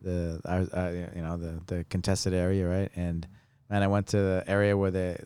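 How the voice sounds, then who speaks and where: A man's voice: low-pitched, little pitch movement, moderate pace, mellow, clear, resonant tone, no echo. A man hosting a casual chat, rambling and repeating words as he looks for his phrasing. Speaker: host.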